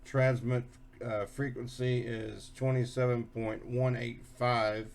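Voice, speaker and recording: A man giving a casual, unscripted hands-on explanation as a hobby video host, halting and often repeating and rephrasing himself. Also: medium pitch, little pitch movement, gravelly, slight background noise.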